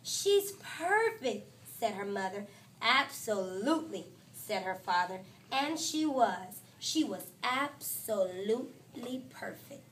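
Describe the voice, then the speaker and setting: A woman teacher reading a picture book aloud to her class, with lively, expressive storytelling. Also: takes it slowly, far from the mic, slight background noise. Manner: expressive storytelling, voices quotes higher and louder.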